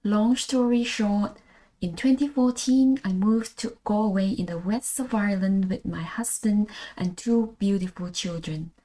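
A woman's voice: medium pitch, a little slow, dark tone, low-quality recording. A middle-aged woman from Malaysia with a Malaysian English accent, hosting a scripted solo podcast in an earnest, read-aloud manner. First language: Bahasa Malaysia. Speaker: podcast host.

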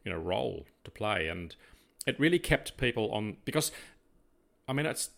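Older Australian man, lowish measured voice. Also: big pitch swings, creaky, a bit bright. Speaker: podcast co-host, interviewer. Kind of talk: casual conversational interview.

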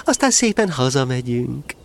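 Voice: mid-range voice, very animated, gravelly. An actor voicing a doting, courteous mother kangaroo in a children's audio play. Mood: affectionate, polite.

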